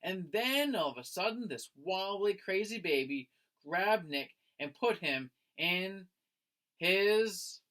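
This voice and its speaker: A man's voice: sits high, expressive, takes it slowly, pushed and bright. A man reading a children's picture book aloud like a teacher, expressively and with friendly address to young listeners. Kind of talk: animated children's read-aloud.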